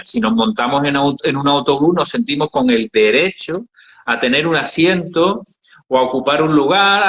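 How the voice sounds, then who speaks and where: Middle-aged Andalusian man, hesitant storyteller, bright tone; muffled, echoey room recording. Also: mid-range voice. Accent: Andalusian Spanish. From Andalusia, Spain. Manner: unhurried storytelling with many hesitations. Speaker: interviewee, founder of an aid project. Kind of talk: telling travel anecdotes in an interview. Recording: dull, roomy recording.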